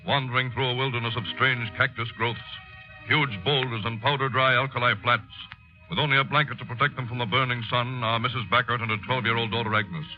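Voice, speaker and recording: A man's voice: medium pitch, steady tone, fast talker. A man narrating as a radio announcer in a solemn, emphatic 1930s newsreel style. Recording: muffled 1930s radio broadcast with music.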